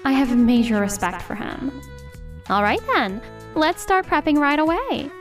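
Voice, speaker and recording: Woman acting sweet, high-voiced eager designer. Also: lively intonation, a little slow. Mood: admiring. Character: sweet, admiring young female designer, eager. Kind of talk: anime-style manga dub acting. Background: music.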